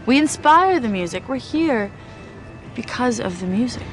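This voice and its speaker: A woman's voice: on the high side, lively intonation, normal speed, natural voice, poor audio. An actress in a film clip playing an earnest young woman who defends her place among rock bands, with music under her lines. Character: young woman insisting she's no groupie.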